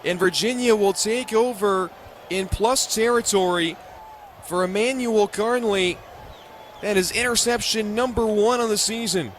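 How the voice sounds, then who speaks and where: A young American man calling live football on the radio as play-by-play announcer, in punchy, clipped, excitable phrases. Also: sits high, unhurried. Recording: live stadium ambience noise.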